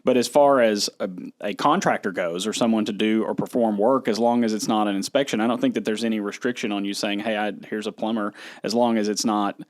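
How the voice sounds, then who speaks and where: A man co-hosting a podcast, speaking in a brisk, emphatic way. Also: on the low side, fairly even intonation. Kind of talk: casual podcast conversation.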